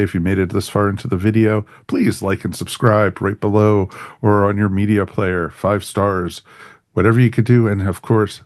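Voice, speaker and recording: A man with a distinctly low voice hosts an informal podcast. He chats casually through plugs and catchphrases. The sound is close and dry. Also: a little mellow, no echo. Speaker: podcast host.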